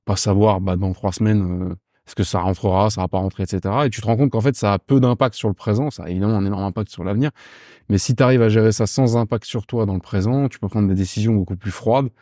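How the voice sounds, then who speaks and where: Man, 30s, from Paris, deep mellow voice, casual interview guest, fillers, slang. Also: fast, dry recording, studio quality. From Paris, France. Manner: relaxed, informal, many fillers and slang, searching for words. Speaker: podcast guest.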